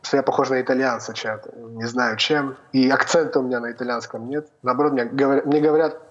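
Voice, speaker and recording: A man from North Ossetia with an Italian-tinged Russian accent, answering over a video call in a plain, relaxed, slightly halting way. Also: mid-range voice. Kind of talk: casual remote interview. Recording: dull lo-fi call audio.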